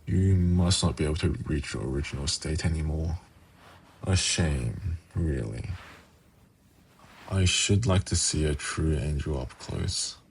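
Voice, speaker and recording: Demon prince, male performer: rock-bottom pitch, heavy fry, suave and teasing, intimate ASMR roleplay. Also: a little hiss or hum, full character voice. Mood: teasing, musing. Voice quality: very low, gravelly, husky. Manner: slow, coaxing, teasing. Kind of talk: ASMR fantasy roleplay, intimate monologue to listener.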